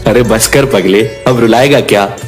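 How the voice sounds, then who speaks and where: A man playing a kind, confident young cook and restaurant owner, reassuring and friendly, in an animated moral story. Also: medium pitch, a little slow, soft background music, natural voice.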